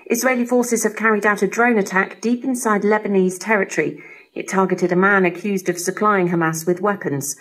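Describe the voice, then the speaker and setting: A woman's voice: medium pitch, low-quality recording. A woman reading a scripted news bulletin as a radio newsreader, received over shortwave. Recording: shortwave radio broadcast reception.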